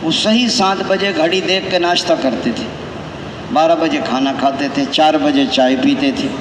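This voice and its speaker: A man preaches in a Pakistani accent with a fairly high voice. He exhorts in a conversational way, asking rhetorical questions and repeating key phrases. The recording rings with long hall reverb over clear background noise. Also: measured pace. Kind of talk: Tablighi sermon with anecdotes.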